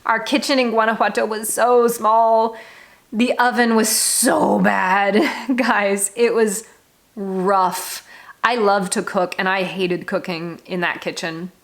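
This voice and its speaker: A woman's voice: mid-range voice, flat delivery, slow pace, fairly bright tone. An American woman vlogger talking candidly and informally to her viewers, with frank, emphatic delivery. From United States. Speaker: travel vlogger. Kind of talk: candid, conversational monologue to viewers.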